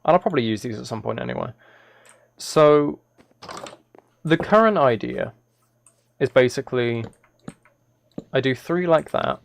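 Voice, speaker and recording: A man's voice: mid-range voice, lively intonation, a little slow, vocal fry, long pauses. A man giving casual gaming livestream commentary, thinking aloud and correcting himself as he chats with viewers. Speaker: live streamer.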